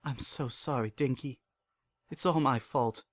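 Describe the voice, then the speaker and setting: A narrator voicing a sorrowful, world-weary pony father in a dramatic story, halting and hollow in delivery. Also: mid-range voice, no echo, lightly acted. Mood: pained. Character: guilt-ridden, weary old time-traveling stallion father.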